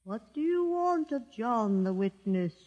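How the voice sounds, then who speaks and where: A man's voice: extremely high pitch, sing-song, exaggerated voice. An actor playing a wary, suspicious male gatekeeper in a 1950s radio drama. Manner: slow, suspicious. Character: wary, hushed gatekeeper of a prophet's dwelling. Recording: dull, reverberant old radio recording.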